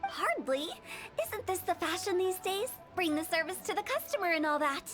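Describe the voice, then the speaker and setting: A woman's voice: very high-pitched, lively intonation, normal speed, over music. A woman voicing a playful young funeral director with a mischievous, cheerfully morbid way of talking. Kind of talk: game voice-over.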